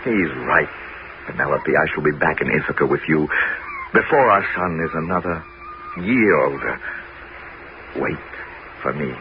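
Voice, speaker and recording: A man's voice: very deep voice, animated, moderate pace, pauses often. An actor playing a wily, homesick Greek warrior king in a 1970s radio drama. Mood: resigned. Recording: dull, noisy old radio broadcast recording.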